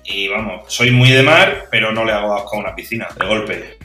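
A man's voice: lowish voice, a little fast, soft background music. Man in his forties chatting in a roomy room. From Murcia region, Spain. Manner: relaxed, reminiscing, chatty. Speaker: interviewee. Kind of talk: casual personal chat. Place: small room indoors. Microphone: headset mic on a video call.

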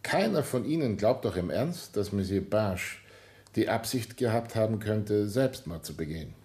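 An actor playing a composed, relentless police inspector in a radio drama, speaking formally and insistently. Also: male voice, on the low side, lively intonation, moderate pace, darkish tone, close to an everyday voice. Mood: stern, composed. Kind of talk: German radio drama acting.

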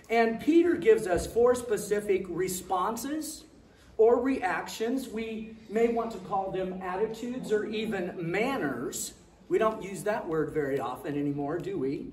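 An older man preaches from a big church stage, his voice high for a man and his delivery slow and deliberate. His sound is roomy and echoing, picked up from far off, with a faint hum of the room behind him. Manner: jokey, loud projecting preacher. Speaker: pastor. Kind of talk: sermon. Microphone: far camera, handheld mic.

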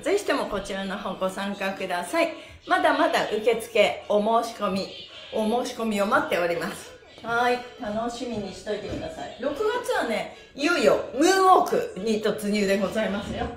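A middle-aged woman leading a live-streamed exercise class in a cheerful, encouraging way, exclaiming as she instructs. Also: fairly high pitch. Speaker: stretch instructor and pantomime teacher. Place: small bare room indoors with wooden floor. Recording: roomy, echoey indoor sound.

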